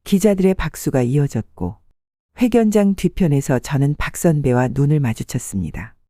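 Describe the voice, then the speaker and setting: A woman in her sixties narrating an audiobook story in the first person, calm and steady. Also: sits low, moderate pace, no room sound, studio quality.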